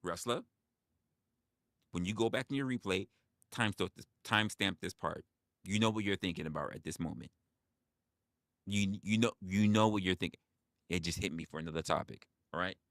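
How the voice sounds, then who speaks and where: A man in his forties holds forth on a casual livestream in a low, heavy voice. He leans hard on his points, repeats phrases and swears freely. The sound is dry and close, with no room around it. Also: normal speed, pauses often, a bit bright, no echo. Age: 40s. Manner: emphatic, repeats phrases, profane. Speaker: livestream host.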